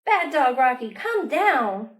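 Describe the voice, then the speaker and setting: A woman voicing an excited young child from a storybook, exclaiming and pleading with drawn-out words. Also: sits high, full character voice. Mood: scolding. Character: excited young child proud of a new pet.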